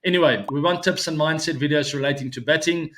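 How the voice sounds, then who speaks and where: A man's voice: on the high side, fast, a bit bright. A man in his forties whose first language is Afrikaans, hosting a cricket show in a casual, chatty solo talk to viewers, with frequent ums and easy laughs. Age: 40s. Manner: chatty, many ums and uhs, laughs easily.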